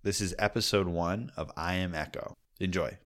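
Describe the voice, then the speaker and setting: Male podcast host, low-pitched voice, informal, conversational intro style. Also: moderate pace, over music.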